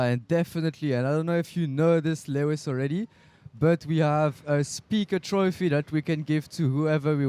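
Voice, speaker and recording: A man's voice: fairly high pitch, dark tone, clean tone. A French man with a French accent faintly tinged with South African, co-commentating casually on a live sports stream. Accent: French, with a slight South African tinge. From France. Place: indoor live stream booth by a beach.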